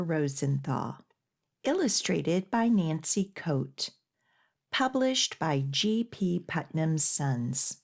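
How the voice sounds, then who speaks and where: Expressive woman reading aloud to young children. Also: mid-range voice. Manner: expressive, deliberate, instructional. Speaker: children's librarian hosting storytime.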